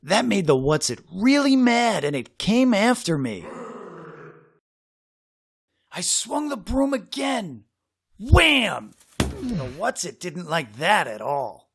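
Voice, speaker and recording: A man reading a children's story aloud in an expressive, dramatic way with suspenseful pauses. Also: sits high, vocal fry, slightly rough, dry recording, clean studio sound. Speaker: storybook reader.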